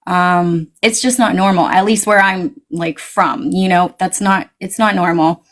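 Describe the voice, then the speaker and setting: A woman guest in casual conversation, talking animatedly, swinging her pitch up and quoting her own reactions. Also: steady tone, a little slow.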